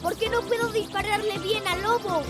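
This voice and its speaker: A performer voicing an eager, easily huffy little boy superhero in a children's cartoon dubbed in Latin American Spanish, childlike and excitable. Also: very high voice, moderate pace, loud music behind the voice.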